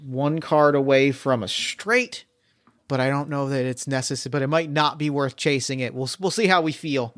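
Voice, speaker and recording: A man's voice: medium pitch, normal speed, fairly bright tone. A man acting as game master for a solo tabletop mystery game, thinking aloud as he weighs his options.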